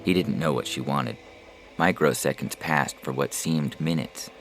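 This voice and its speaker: A man's voice: extremely low pitch, a little slow, background noise. A man reading scripted science fiction narration as a storyteller. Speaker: author and story reader. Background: prominent music.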